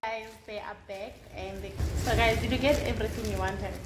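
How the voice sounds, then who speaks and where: A woman's voice: medium pitch, fairly even intonation, a little fast, noisy background. Chatty mother vlogging on her phone. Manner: chatty, teasing, often laughing, addresses viewers as guys. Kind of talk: casual vlog chat to camera. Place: home bedroom, indoors. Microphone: phone at arm's length.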